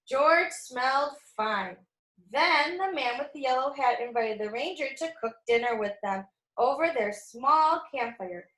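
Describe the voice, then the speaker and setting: Lady with a high voice doing a kids storytime read aloud, takes it slow, echoey room. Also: sharp tone. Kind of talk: children's picture book read-aloud with asides to young listeners.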